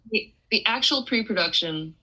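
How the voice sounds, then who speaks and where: A woman's voice: medium pitch, expressive, normal speed, sharp tone, breathy edge, poor audio. A young adult woman from Taiwan, a musician and interview guest, talking casually with many restarts and fillers.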